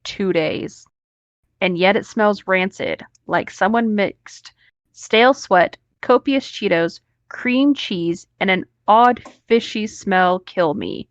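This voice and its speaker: A woman's voice: mid-range voice, takes it slowly, no echo, muffled recording. A woman host reading an online story aloud, breaking off now and then into casual asides.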